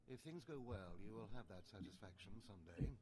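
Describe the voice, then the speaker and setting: An actor playing a grave, scheming overseer and stern mentor in a video game, speaking in a formal, measured, imperious way. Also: male voice, on the low side, lively intonation, very noisy, lightly acted. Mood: brooding. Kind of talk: video game character acting. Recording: faint background ambience.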